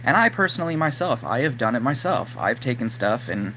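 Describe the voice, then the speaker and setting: A man whose voice is going hoarse, talking casually and rambling to his live-stream audience with plenty of fillers. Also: medium pitch, sing-song, fairly quick, bright, forceful tone, slight background noise. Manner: rambling, lots of fillers like "like" and "you know". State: losing his voice, throat-clearing. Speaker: livestream host.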